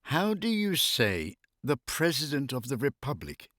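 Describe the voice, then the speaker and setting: A man's voice: medium pitch, wildly swooping pitch, unhurried, fairly bright tone, no echo, clean studio sound. A middle-aged or older man chatting casually and humorously, telling anecdotes in a wry way and often amused by his own jokes. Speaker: expatriate living in Finland.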